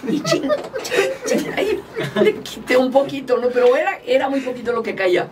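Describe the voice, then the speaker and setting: A woman's voice: high voice, very animated, normal speed, far from the mic, a crowd in the background. A woman from Mexico, a guest politician, chatting casually and animatedly in an interview, often laughing as she tells stories. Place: small studio, indoors. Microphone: table mic, far from speaker.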